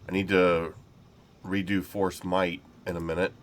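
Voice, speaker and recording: A man's voice: low-pitched, level tone, measured pace, slight background noise. A man hosting casual gameplay commentary in an easygoing, rambling way, sounding a little nasal from being sick. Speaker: gaming channel host.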